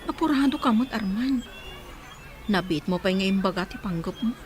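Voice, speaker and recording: Lively Ilocano woman played by a woman, bashful sweetheart. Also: medium pitch, moderate pace, lightly acted. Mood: teasing reluctance. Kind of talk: Ilocano radio-style drama acting.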